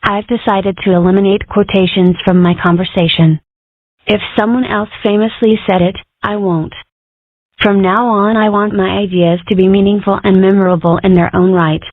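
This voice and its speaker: A woman's voice: medium pitch, fairly even intonation, moderate pace, dry recording, lightly acted. A woman voicing a brash, eccentric cartoon schoolgirl who declares things bluntly and theatrically in an amateur comic dub. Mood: self-assured. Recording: thin, phone-like lo-fi audio.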